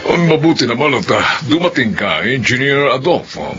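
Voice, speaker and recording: An actor playing a blunt, wealthy businessman who talks deliberately and condescendingly in a radio drama. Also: mid-range voice, animated, close to an everyday voice. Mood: blunt, businesslike. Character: Don Romano, blunt, wealthy businessman client. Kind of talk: Filipino radio drama acting. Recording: bright but lo-fi, faint noise.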